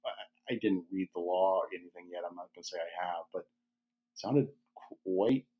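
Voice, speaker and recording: Husky, teasing man. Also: sits low, moderate pace, mellow, rough recording. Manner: teasing, wry. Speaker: podcast co-host, yoga studio owner. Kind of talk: casual conversation.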